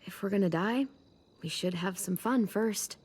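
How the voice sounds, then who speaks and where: A woman playing a caring, wry teenage girl leader in a video game, speaking in a measured, gently dry way. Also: medium pitch, husky, natural voice. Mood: warm, wry. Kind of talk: naturalistic video game voice acting.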